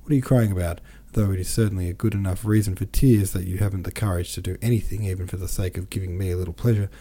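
A stern senator and father from a novel, read out by a man, speaks in a deep, dark voice. He is cold and impatient, giving curt commands. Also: steady tone, quick pace. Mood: harsh, irritated.

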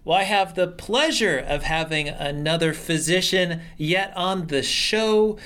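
A man's voice: high-pitched, a little slow, pushed and bright. A man hosting a podcast interview, upbeat and enthusiastic, a bit rambling. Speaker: podcast host. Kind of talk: interview conversation.

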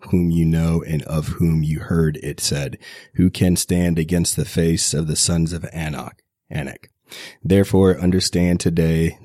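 Very deep-voiced man with a cold. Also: dry recording, clean studio sound. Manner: steady reading with self-corrections. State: recovering from a cold. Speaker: podcast host reading scripture. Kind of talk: scripture reading.

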